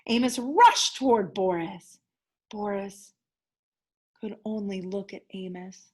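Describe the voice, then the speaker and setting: A woman's voice: mid-range voice, takes it slowly, a little mellow, breathy edge. A woman teacher reading a children's story aloud expressively, leaning dramatically on key words.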